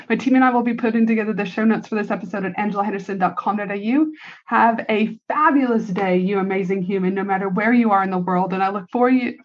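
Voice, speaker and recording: A woman's voice: mid-range voice, lo-fi sound. A woman with a Canadian accent hosting a solo motivational business podcast, talking in an emphatic, blunt, casual way. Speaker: podcast host.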